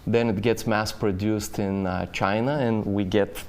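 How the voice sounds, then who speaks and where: A man from Bulgaria talks through his work as a guest painter in an easygoing interview. His voice sits low and sounds smooth and clear. He pauses often, with many fillers and restarts. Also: fairly even intonation, darkish tone. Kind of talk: casual interview talk.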